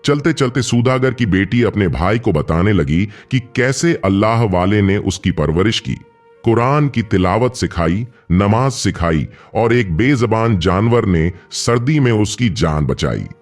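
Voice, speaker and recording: A man's voice: on the low side, expressive, unhurried. A man narrating a scripted, dramatic moral tale as a storyteller. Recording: music bed behind the narration. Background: background music.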